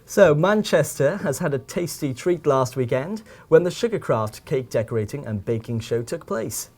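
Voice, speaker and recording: A man's voice: a little high, animated, moderate pace, a little mellow. A young man presenting a student TV news bulletin from a studio. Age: young adult.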